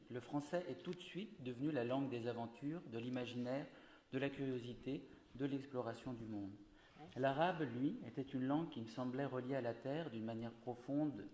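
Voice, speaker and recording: A man who grew up in Syria, a native Arabic speaker, reading a measured, earnest formal speech as guest of honour. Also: mid-range voice, darkish tone. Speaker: guest speaker, comics author. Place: large ceremonial hall. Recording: echoey hall with faint noise.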